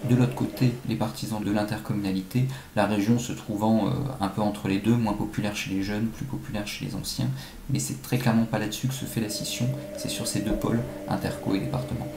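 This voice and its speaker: A man giving measured, analytical answers as an academic expert being interviewed, with some halting phrasing. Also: lowish voice, fairly even intonation. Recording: echoey room, faint-to-clear background noise.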